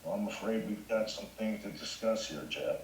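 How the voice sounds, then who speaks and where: Guy as strict sarcastic teacher, lowish voice, formal and dry, echoey room. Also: moderate pace, a little mellow, slight background noise, natural voice. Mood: dryly menacing. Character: stern, dryly sarcastic male high school teacher. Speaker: actor at a table read. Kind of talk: live comedy table read.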